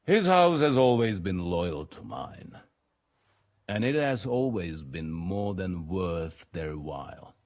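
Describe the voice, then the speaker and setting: A man playing a shrewd, weary middle-aged lord in a video game, speaking in a formal, measured and commanding manner. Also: low-pitched, animated. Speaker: medieval nobleman. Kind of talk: video game cutscene acting.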